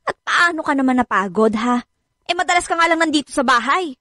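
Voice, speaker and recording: A woman's voice: sits high, expressive, normal speed, sharp tone, dry recording. An actress with a Filipino accent playing a weary, reproachful working wife and mother in a radio drama. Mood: suspicious, accusing. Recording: dry studio with music underneath.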